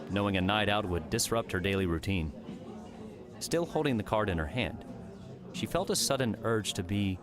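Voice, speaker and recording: A man reading scripted story narration as the narrator of an audiobook. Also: low voice.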